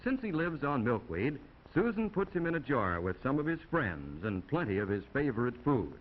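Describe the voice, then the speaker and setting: A man's voice: medium pitch, sing-song, normal speed. An American man narrating a 1950s classroom film in a folksy, instructive way with chatty asides to young viewers, on an old film soundtrack. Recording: dull, noisy 1950 film soundtrack.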